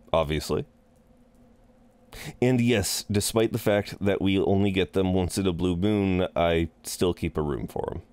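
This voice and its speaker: Man acting as low, unhurried incubus innkeeper. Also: a little mellow, clear, resonant tone. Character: courteous, chatty male incubus innkeeper, flirtatious and easily flustered. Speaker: audio roleplay performer. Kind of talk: intimate scripted roleplay acting.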